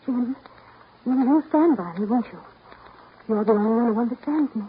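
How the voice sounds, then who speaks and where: A woman's voice: fairly high pitch, expressive, moderate pace, lightly acted. An actress in a 1930s radio drama playing an anxious young woman, intimate and imploring as she pleads. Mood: pleading. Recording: muffled, noisy 1930s radio broadcast recording.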